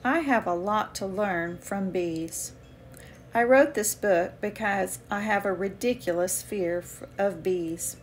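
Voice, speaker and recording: A woman reading a children's picture book aloud with expressive, deliberate phrasing for young listeners. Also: medium pitch, slight background noise. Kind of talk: read-aloud children's storytelling.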